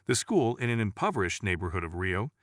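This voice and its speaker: Male narrator, lowish pitch, highly varied intonation, scripted news narration, dry close sound. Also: big pitch swings, brisk, a bit bright, no room sound.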